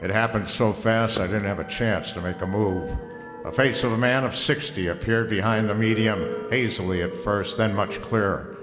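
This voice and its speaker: A man acts out a wry, hard-boiled private eye from a radio detective play. His voice sits low and carries through a reverberant hall. He tosses off wisecracks as he tells his case. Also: lightly acted. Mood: dry, knowing. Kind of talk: old-time radio detective drama, scripted. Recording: echoey hall, long reverb, faint music.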